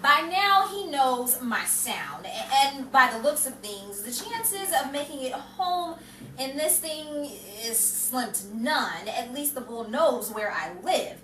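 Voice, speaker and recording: An actress playing a fed-up, wisecracking woman passenger in a radio comedy. Her high voice swoops with sarcasm. It sounds distant, in a noisy room. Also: very animated, takes it slowly, fairly bright tone, exaggerated voice. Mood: exasperated. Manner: sardonic wisecracking. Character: exasperated, sarcastic woman squashed in a back seat. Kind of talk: old-time radio comedy acting. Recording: roomy, distant, clearly noisy.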